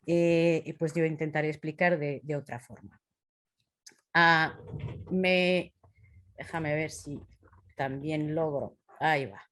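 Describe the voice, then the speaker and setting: A woman in her fifties with a Portuguese accent, speaking a second language haltingly as she leads an informal presentation, searching for words. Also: low voice, fairly even intonation, a bit bright. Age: 50s. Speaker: presenter leading a talk on impact measurement.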